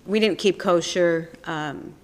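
A woman's voice: on the low side, slow. Woman, podcast guest, from Israel, raised in Chicago, candid, self-deprecating, hesitant, clubhouse room. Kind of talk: casual interview chat. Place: resort clubhouse room with some echo.

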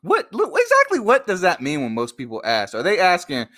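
A man's voice: high-pitched, wildly swooping pitch, fairly quick, pushed and bright. A man in his late twenties co-hosting a casual, unscripted podcast chat, laughing often and rambling through restarts. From born in Georgia, raised in Virginia. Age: 20s. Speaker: podcast co-host.

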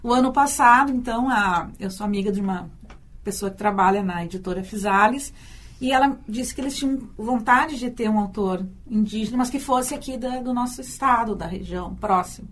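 A woman's voice: a little high, fairly quick. A Brazilian woman, a university teacher and storyteller, answering in a radio interview, thoughtful and often repeating words as she thinks.

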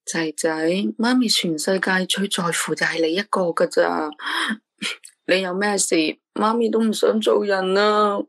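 A woman's voice: mid-range voice, fairly even intonation, a little slow. A woman with a Hong Kong Cantonese accent voicing an anxious, doting single mother in a radio drama, who talks in a pleading, emotional way. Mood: worried.

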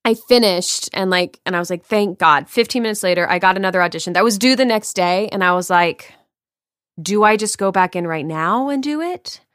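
A woman's voice: medium pitch, a little fast, fairly bright tone, no echo, studio quality. A woman voice actor talking shop in a casual group chat, chatty and full of fillers. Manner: chatty, many fillers, thinks aloud.

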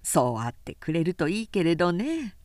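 A woman reader voicing an older, brisk madam of a pleasure house in an audiobook, worldly and matter-of-fact. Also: fairly low pitch, big pitch swings, darkish tone, no room sound, studio quality. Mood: doubtful.

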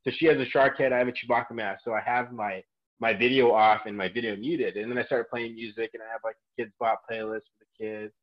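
A man's voice: mid-range voice, fast, dull audio. A man in his twenties telling a story in casual chat, acting out people's reactions as he goes. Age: 20s. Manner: animated storyteller who acts out reactions. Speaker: gym coach and guest.

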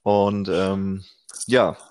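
A man in his thirties chats loosely as a podcast co-host, joking at his own expense and laughing often. His voice sits a bit low. The room sounds dry and close. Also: little pitch movement, very slow, a little mellow, clear, resonant tone, dry recording. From Germany. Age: 30s. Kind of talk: casual chat.

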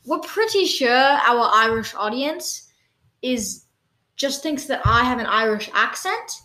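A woman's voice: a little high, expressive, slow pace, sharp tone, rough recording. A woman co-hosting an improvised comedy podcast, playful and giggly as she spins absurd tall tales. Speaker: podcast co-host.

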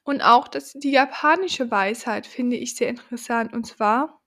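A woman hosting a podcast, talking slowly and thoughtfully to the listener, her voice deepened by a cold. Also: sharp tone. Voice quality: lower than usual from a cold. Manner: slow, thoughtful, addresses the listener directly. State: has a cold. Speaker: podcast host. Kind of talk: reflective podcast monologue.

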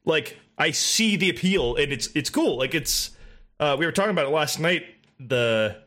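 Man, fairly high voice, bright tone, rambling casual gaming chat, lots of "like". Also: lively intonation, moderate pace. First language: English. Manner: rambling, many fillers and restarts, casual swearing.

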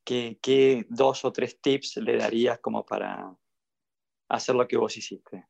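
Male podcast host, Argentine accent, conversational, mid pitch with plenty of vocal fry. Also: unhurried, a little mellow. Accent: Argentine Spanish. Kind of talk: casual conversational interviewing. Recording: dull lo-fi audio.

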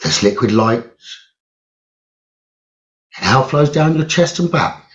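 Very slow male meditation guide, roomy echo. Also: mid-range voice, animated. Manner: soothing, measured, with long pauses. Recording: roomy echo, dull lo-fi sound.

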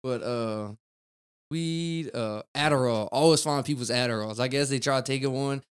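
A young man talks loosely as a podcast guest, rambling and tossing in "dude" and "man". His voice is smooth and clear, sitting at a middle pitch for a man. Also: normal speed. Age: 20s. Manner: rambling, laid-back, peppered with "dude" and "man". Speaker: guest, car detailer. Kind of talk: casual podcast chat.

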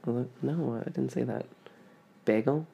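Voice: mid-range voice, moderate pace, creaky, dark tone, slightly rough. A podcast host from Ontario with a Canadian accent, chatting casually and telling stories with playful emphasis on words. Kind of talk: casual chat.

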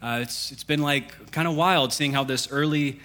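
A man in his thirties, a pastor, reading scripture and then preaching in a relaxed, joking, conversational way with fillers. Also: mid-range voice, normal speed. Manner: self-deprecating jokes, conversational with fillers. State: congested.

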